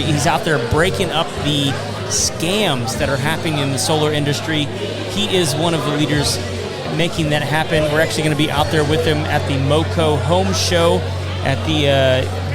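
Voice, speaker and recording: A man hosting a live home-improvement podcast, joking with guests and reading sponsor plugs. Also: fairly high pitch. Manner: upbeat, joking, quick restarts. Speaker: podcast host. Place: live event with crowd and music. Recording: live event with loud crowd and music.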